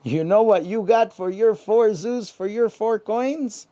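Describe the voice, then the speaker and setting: A woman's voice: mid-range voice, measured pace, mellow, lo-fi sound, pitched above the performer's own voice, close to an everyday voice. A woman voicing an honest, kind sailor in a children's bedtime story, speaking earnestly and politely. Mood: amazed, earnest.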